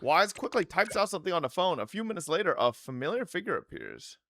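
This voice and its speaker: Bright, high-voiced male game streamer. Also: very animated, fast talker, creaky, slight background noise. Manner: crude, joking. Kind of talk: playthrough commentary, reading game text aloud.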